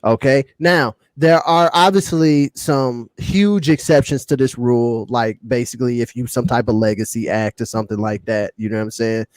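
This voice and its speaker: American guy on a podcast panel, mid pitch, repeats himself to make the point, dry sound. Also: moderate pace, hardly any pauses, dry recording. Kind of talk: casual panel discussion.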